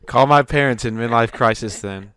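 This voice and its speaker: Smooth-voiced young man joking around. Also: mid-range voice, unhurried, fairly bright tone, no echo. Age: 20s. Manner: jokey, swears casually, laughs a lot. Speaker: podcast co-host. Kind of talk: casual chat.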